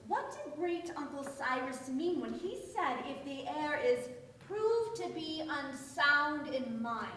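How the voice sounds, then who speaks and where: A genteel, excitable young heiress in a radio play, acted by a woman. The voice is very high and animated, theatrical in style, and sounds distant in an echoey room. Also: slow, heavily acted. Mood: amazed. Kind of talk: staged radio play acting. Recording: echoey stage, distant mic, faint noise.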